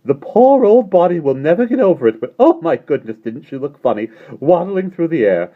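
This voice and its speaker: A man doing a jolly old gentleman, high and bubbly. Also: very animated, brisk. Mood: laughing. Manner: exclaiming, breathless with mirth. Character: jolly old gentleman, giggly and warm-hearted. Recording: dull lo-fi recording.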